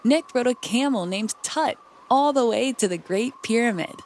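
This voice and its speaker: A woman with a fairly high voice reads a children's story aloud. She narrates in storybook style, with clear noise behind her on the recording. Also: lively intonation, normal speed, darkish tone. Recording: clearly noisy background.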